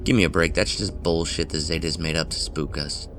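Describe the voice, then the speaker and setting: Man as easygoing young pledge, very deep voice. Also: fairly even intonation, fairly quick, a bit bright, faint music behind. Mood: skeptical. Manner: casual, unbothered. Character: easygoing, skeptical young male fraternity pledge at the wheel. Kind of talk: acted dialogue in an audio horror drama.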